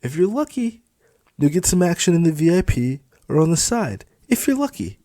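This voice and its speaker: A man's voice: a little high, big pitch swings, moderate pace, warm tone, dry recording, clean studio sound, pitched above the performer's own voice. A man voicing a smug, crude club supervisor in an audiobook, dismissive and impatient with a sneering manner. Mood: condescending, taunting. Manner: sneering, exasperated, mouthy. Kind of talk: dramatised audiobook dialogue.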